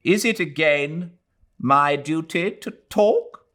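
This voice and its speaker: Bitter elderly Englishwoman played by a man, audiobook; raised swooping pitch, weary, emphatic, scornful of everyone. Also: animated, takes it slowly, fairly bright tone. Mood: irritable, scornful. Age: older. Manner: rambling, emphatic, repetitive. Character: irritable, bitter, weary old Englishwoman, disdainful and brooding.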